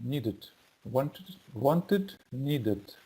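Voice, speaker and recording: An English learner with a non-native accent, speaking haltingly in a lesson and repeating words to practise. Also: medium pitch, expressive, background noise. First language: not English.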